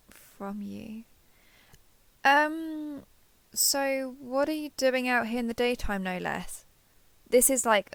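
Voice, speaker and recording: A woman acts out a female alien passing as a human survivor in an intimate audio roleplay. Her voice is very dry and close, with a frequent creaky edge. She is hesitant and coaxing, eager to please and quick to cling. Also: a little high, a bit bright, no echo. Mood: shy, smitten.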